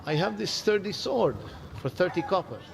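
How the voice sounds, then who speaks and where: A man's voice: high voice, lively intonation, normal speed, vocal fry, noisy background. An actor voicing a plain-spoken old townsman and trader in an adventure game. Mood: plain, wary. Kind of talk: video game voice acting. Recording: reverberant sound with faint music behind.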